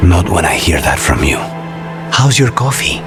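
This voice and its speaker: A man's voice: low voice, big pitch swings, normal speed, over music. A man voicing a brooding, courteous assassin with a dry, guarded manner in a video game cutscene. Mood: soft, reflective.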